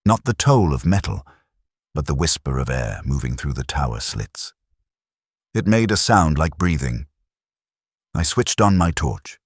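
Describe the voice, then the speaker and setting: A man playing a brooding, lonely police inspector who narrates a mystery drama in a measured, literary, reflective way. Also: a bass voice, big pitch swings, breathy edge, dry recording, studio quality, heavily acted. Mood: hushed, watchful. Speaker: narrator of a detective story. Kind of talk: scripted first-person mystery narration.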